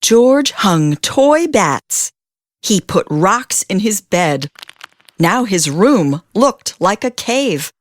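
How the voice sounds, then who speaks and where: A woman reading a children's storybook aloud as an expressive narrator, with deliberate phrasing and drawn-out emphasis. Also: medium pitch, no room sound, clean studio sound.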